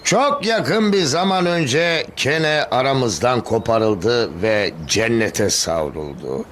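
Pompous-solemn town official speech, cartoon dub, very sing-song pitch, bit of noise behind. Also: male voice, animated, takes it slowly, a bit bright. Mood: solemn, ceremonious. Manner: ceremonious, oratorical. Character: solemn bumbling male city official giving a memorial speech. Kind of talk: dubbed cartoon acting.

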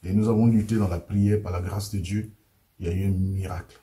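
Male prophet figure, unhurried pastoral delivery, dark warm timbre, small meeting room. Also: deep voice, fairly even intonation. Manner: slow, measured, with repeated "n'est-ce pas". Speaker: prophet leading a deliverance ministry. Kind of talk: pastoral testimony interview.

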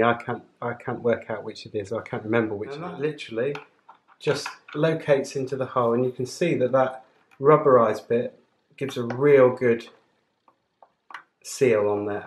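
A man giving a chatty, informal step-by-step tutorial while working with his hands. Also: mid-range voice. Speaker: presenter of a motorcycle gear channel. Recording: roomy indoor sound, clear background noise, clicks.

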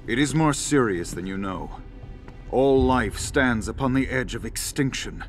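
An actor voicing a commanding sorcerer hero in a game, formal, grave and declamatory. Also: male voice, medium pitch, a little slow, lightly acted. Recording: clear music behind the voice.

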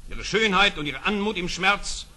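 Imperious storybook sultan, piercing and commanding, declamatory radio-play delivery. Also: male voice, sits high, expressive, moderate pace, pushed and bright, full character voice. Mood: stern, commanding. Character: imperious, stern sultan with commanding, righteous temper. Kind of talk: vintage fairy-tale radio play acting.

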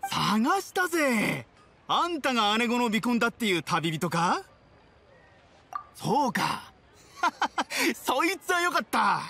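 Bright, hearty pirate crewman. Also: sits high, exaggerated voice. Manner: rough, casual, hearty. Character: burly, hearty pirate crewman, loyal to his boss. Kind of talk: anime-style game voice acting.

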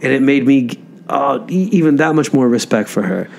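A male comedian hosts a podcast. His voice sits at an average pitch, and he tells stories in a casual way, circling back over phrases to stress them. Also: animated, moderate pace, gravelly, darkish tone. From Egypt until age eight, then America. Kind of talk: casual conversational storytelling.

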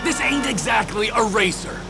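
An actor playing an unhinged, vengeful middle-aged criminal in a video game, foul-mouthed, sneering and menacing. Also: male voice, high-pitched, moderate pace, gravelly. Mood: scornful, threatening. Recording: game engine and music noise behind.

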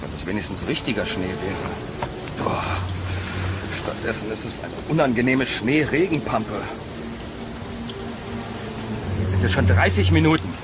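A man's voice: fairly low pitch, moderate pace, very noisy, natural voice. An actor playing an easygoing young male traveller in a horror audio drama, breezy and reassuring. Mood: irritated. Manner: breezy, casual, expressive.

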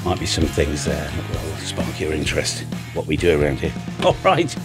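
A man's voice: a bass voice, sing-song, moderate pace, loud music behind the voice. An older man with a British accent giving a casual, off-the-cuff tutorial, chatty and blunt, often thinking aloud. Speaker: radio hobby video presenter. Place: home music studio room with drum kit. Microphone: large studio microphone on an arm, close by.

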